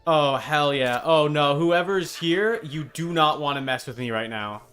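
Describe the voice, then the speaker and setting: A man's voice: a little high, measured pace, a bit bright, clean tone, soft background music. A man doing casual live video game commentary, talking wryly to himself and to the game's enemies. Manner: talks to self and game enemies, wry.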